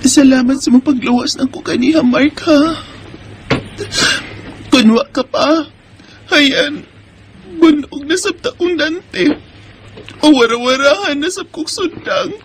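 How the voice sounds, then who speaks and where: A woman's voice: high voice, slow, pauses often, slight background noise. An actress playing a weary, mistreated wife and mother in a Filipino radio drama, with a Bisaya accent. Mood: grateful, tearful. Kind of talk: Filipino radio drama acting and first-person narration. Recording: music behind studio drama voice.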